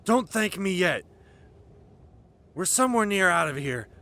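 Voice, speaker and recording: A man's voice: high-pitched, very animated, measured pace, pushed and bright, exaggerated voice. A man playing a protective, exasperated teenage boy in an amateur script read, with urgent, emphatic delivery. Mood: firm, urgent.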